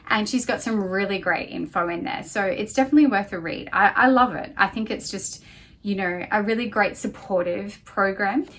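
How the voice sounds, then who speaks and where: A woman in her thirties giving a casual, conversational review to camera as a homeschooling parent, softening her points as she goes. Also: medium pitch, normal speed. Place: small home office, indoors. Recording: clear background room noise.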